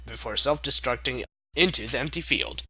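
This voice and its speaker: A man's voice: mid-range voice, moderate pace, loud music behind the voice. A young man reading a fan story aloud, breaking off for sarcastic, mock-exasperated asides. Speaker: fanfic reader. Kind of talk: comedic dramatic reading.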